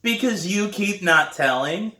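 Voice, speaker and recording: A man voicing an excitable, insistent young boy, a pestering little brother in a storybook reading. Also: high voice, a little slow, echoey room, pitched above the performer's own voice, heavily acted. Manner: loud, insistent, playful.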